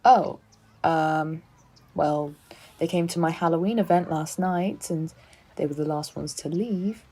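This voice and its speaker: A woman's voice: sits low, unhurried, dark tone, slightly rough. A woman playing a chatty, eager-to-please schoolteacher in a naturalistic audio drama, apologetic and a little indiscreet. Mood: puzzled, concerned. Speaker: actor in an audio play.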